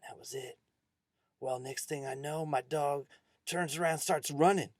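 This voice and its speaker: A man's voice: a little high, moderate pace, a little mellow, no echo, studio quality, natural voice. A man voicing a stern, haunted farmer in a spooky story, with ominous folksy talk. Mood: urgent warning. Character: gruff old farmer warning schoolboys, haunted and stern.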